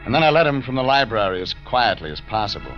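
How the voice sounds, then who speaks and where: A man acting a tough private eye in an old-time radio detective drama, clipped, wry and deadpan. The recording is dull and vintage, with prominent organ music behind the voice. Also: medium pitch, fast talker, lightly acted. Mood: narrating, dry. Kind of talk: 1940s radio detective drama, narration and dialogue.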